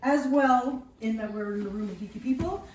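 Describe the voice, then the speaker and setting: A woman's voice: on the high side, fairly quick, darkish tone. Bubbly woman presenting in an echoey room. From United States. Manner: bubbly and enthusiastic, laughing often. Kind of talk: speaking to a live group. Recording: roomy, distant mic, some noise.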